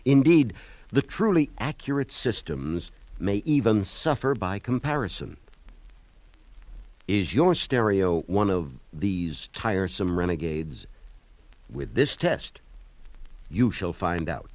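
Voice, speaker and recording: A man's voice: low-pitched, sing-song, takes it slowly, a little mellow, no echo. A man announcing in scripted narration, mock-solemn and formal.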